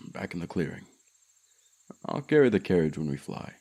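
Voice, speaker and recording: Deep, haughty ancient dragon voiced by a man. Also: big pitch swings, creaky, a little mellow, full character voice. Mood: gently reassuring. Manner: formal, measured, grandiloquent. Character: ancient, haughty, lonely male dragon, courteous and possessive. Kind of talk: ASMR fantasy audio roleplay.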